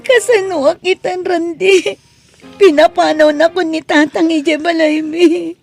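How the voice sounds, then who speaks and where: A woman's voice: very high voice, fairly even intonation, measured pace, gravelly, darkish tone, soft background music, heavily acted. A young woman with an Ilocano accent playing an earnest, devoted daughter in a radio drama, with a pleading, emotional manner. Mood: distressed.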